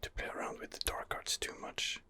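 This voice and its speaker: A man quietly narrating his role-play game in a breathy ASMR whisper, musing and rambling hesitantly, close to the microphone. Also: brisk. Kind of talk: ASMR gaming commentary.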